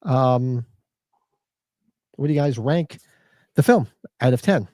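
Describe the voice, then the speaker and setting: A man co-hosting a live podcast, chatting loosely, joking and reading chat comments, a little hoarse. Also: mid-range voice, lively intonation, slow pace, warm tone, no room sound. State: losing his voice. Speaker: podcast co-host. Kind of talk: casual live-stream chat.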